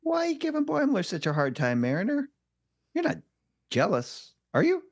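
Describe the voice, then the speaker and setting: A woman's voice: on the high side, huge pitch swings, moderate pace, heavily acted. A woman reading the part of a friendly, supportive young male starship engineer in a comedic table read. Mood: friendly, probing.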